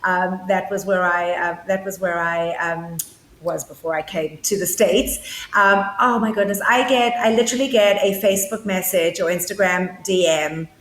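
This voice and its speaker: A woman's voice: fairly low pitch, moderate pace, a bit bright, echoey room, a little hiss or hum, rough recording. A woman with a South African accent co-hosting a casual show, enthusiastic and full of fillers and restarts. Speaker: podcast co-host and interviewer. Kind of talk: casual chat.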